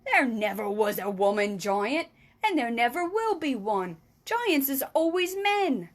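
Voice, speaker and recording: A woman voicing a kindly, very old male giant in a storybook reading, booming and drawn out, mangling his words and grammar. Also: expressive, unhurried. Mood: emphatic.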